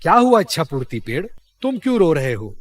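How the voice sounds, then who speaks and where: Man as a kind passer-by, storybook, concerned, eager to help, raised pitch, lively intonation. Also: a little fast. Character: helpful, sensible passer-by man.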